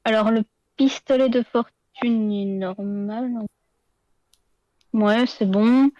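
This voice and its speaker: A woman's voice: a little high, fairly even intonation, slow, highs cut off, a crowd in the background. A woman acting as game master, hesitant and full of fillers while she looks up rules. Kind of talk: running a tabletop game, checking rules.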